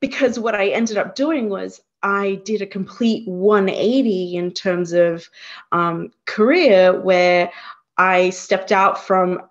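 A woman's voice: fairly low pitch, lo-fi sound. A woman with an Australian accent, a career coach speaking as an interview guest, reflective and thinking aloud. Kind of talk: conversational interview.

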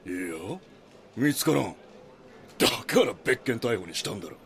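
A man's voice: fairly low pitch, sing-song, moderate pace, creaky. A man playing a pushy, callous chief editor in an audio drama, blunt and dismissive, with noise behind him. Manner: blunt, brusque, dismissive toward his junior. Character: pushy, callous male magazine chief editor, gloating over scoops.

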